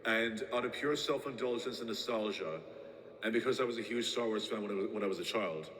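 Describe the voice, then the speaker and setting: A man's voice: fairly low pitch, a bit bright, roomy sound, in a large hall, a little hiss or hum, lightly acted, rough recording. An actor playing an uneasy male tape collector who rambles and halts as he leaves a warning message. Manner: rambling, halting, with many restarts.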